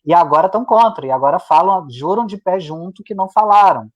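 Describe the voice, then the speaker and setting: A man's voice: high-pitched, animated, brisk, muffled recording. Emphatic man. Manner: emphatic, repeating points for weight. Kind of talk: live discussion. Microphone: video call.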